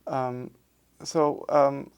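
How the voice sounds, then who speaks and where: A man in his sixties speaks English with a Beijing Mandarin accent, in an oral history interview. His voice is smooth and clear, and his delivery is slow and fairly flat. He pauses often, with many fillers and restarts. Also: mid-range voice. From Beijing, China. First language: Mandarin Chinese. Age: 60s. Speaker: architecture professor.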